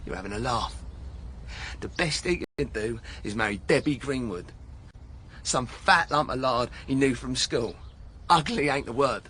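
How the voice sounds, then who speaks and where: A brash young English footballer in a radio drama, cornered by police and defiant. His voice sits at a middling pitch, with slangy, argumentative delivery. There is noticeable noise around him. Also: unhurried, pushed and bright. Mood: bitter, defiant. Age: young adult. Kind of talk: naturalistic radio drama acting. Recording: clearly noisy background ambience.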